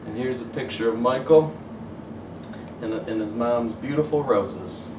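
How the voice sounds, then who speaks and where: Guy teacher reading storytime out loud, roomy echo, bit far from mic, faint noise. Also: mid-range voice, measured pace. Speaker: teacher reading a picture book aloud. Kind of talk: animated children's story read-aloud, pausing to show pictures.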